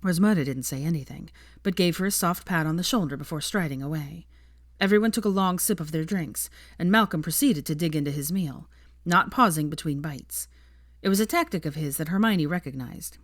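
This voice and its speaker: A woman reading a story aloud as narrator in an expressive audiobook style. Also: on the low side, fairly quick, dry recording, clean studio sound.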